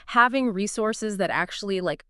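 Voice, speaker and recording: Smooth-voiced woman chatting eagerly. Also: medium pitch, animated, moderate pace, fairly bright tone, no room sound, studio quality. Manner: conversational, lots of "like" and "you know", eager reactions. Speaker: podcast co-host. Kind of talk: casual chat.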